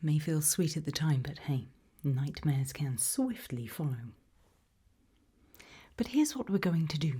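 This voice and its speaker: A British woman whispering gently in an ASMR roleplay as a calm, attentive sleep clinic practitioner, reassuring and soothing. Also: low-pitched, measured pace. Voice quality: breathy, whispered.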